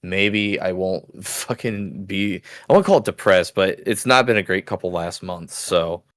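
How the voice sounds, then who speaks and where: A man in his thirties with a bassy voice, co-hosting a casual podcast, rambling and swearing freely with frequent laughs. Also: a little slow, fairly bright tone. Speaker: podcast co-host. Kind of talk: casual chat.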